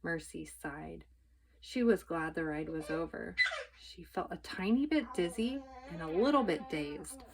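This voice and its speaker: A woman's voice: lowish voice, measured pace, gravelly, background noise. A woman narrating a children's book as a storytime reader.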